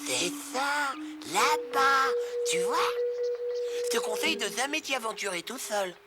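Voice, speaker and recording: An actor voicing a breezy, bossy but kindly male river rat in an animated film dub, chummy and exclamatory. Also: high-pitched, a little slow. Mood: earnest. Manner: exclamatory, chummy, self-assured. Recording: loud music behind the voice.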